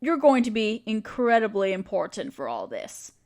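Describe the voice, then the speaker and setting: A woman's voice: mid-range voice, big pitch swings, moderate pace, darkish tone. A woman playing an earnest, caring necromancer in a scripted audio roleplay, speaking directly to the listener in a conversational, reassuring way. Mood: earnest, eager. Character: earnest, caring female necromancer with a grand plan.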